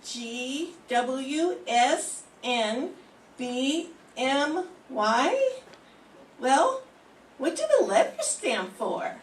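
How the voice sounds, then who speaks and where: Older lady playing a ditzy wide-eyed girl reporter, bouncy high voice, slow, echoey far mic. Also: big pitch swings, slight background noise, exaggerated voice. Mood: naive. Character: scatterbrained naive young woman reporter. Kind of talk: amateur radio drama reading. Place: classroom.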